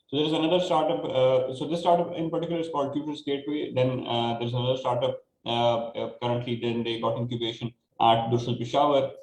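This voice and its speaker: A man with a Pakistani accent explaining things informally as a panelist, in a halting way that repeats words. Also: mid-range voice, a little mellow. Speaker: panelist, project grantee. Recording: echoey room, faint background noise.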